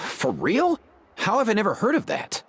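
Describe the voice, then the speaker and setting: A man's voice: high-pitched, huge pitch swings, measured pace, creaky, fairly bright tone, faint music behind, exaggerated voice. A man voicing a casual, curious young male character in a video game. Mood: surprised, hesitant.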